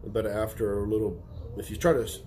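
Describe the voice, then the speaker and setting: A man, an auto mechanic, hoarse from a head cold, giving a plain, matter-of-fact update on a repair. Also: fairly low pitch, steady tone, a little fast, crowd noise.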